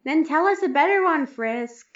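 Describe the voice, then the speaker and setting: Girl doing jokey upbeat young pal in audio drama, really squeaky high, slightly muffled lofi mic. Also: expressive, normal speed. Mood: playful. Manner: casual, jokey, encouraging. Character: upbeat, goofy young joker friend. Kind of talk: amateur audio drama acting.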